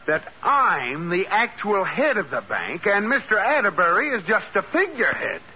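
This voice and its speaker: An earnest, scolding husband in an American radio sitcom of the 1940s. His voice is high for a man and leaps about with exasperation. The sound is muffled with a faint hiss. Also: sing-song, moderate pace. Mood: exasperated scolding.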